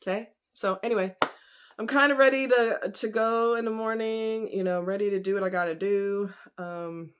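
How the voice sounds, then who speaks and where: A woman's voice: mid-range voice, moderate pace, clean tone. A woman vlogger talking casually and directly to her viewers in a folksy, conversational, emphatic way.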